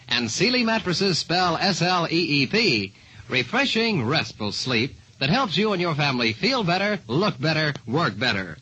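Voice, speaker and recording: A man's voice: on the high side, sharp tone, breathy edge, poor audio. A man reading a vintage radio commercial as announcer, in an emphatic salesman's delivery. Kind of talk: 1940s radio commercial pitch.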